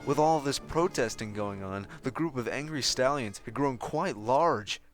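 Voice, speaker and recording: A man reads a story as narrator with a very lively, expressive delivery. His voice sits at a middle pitch, and music plays clearly behind him. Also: measured pace. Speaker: fan fiction narrator.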